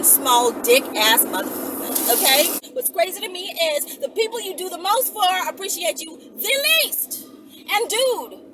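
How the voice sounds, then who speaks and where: A woman talking casually and bluntly to her viewers, with a sassy manner, as a content creator. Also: high-pitched. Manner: sassy, blunt, heavy swearing. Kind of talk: casual rant to camera, addressing viewers directly. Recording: distant mic with clear background noise.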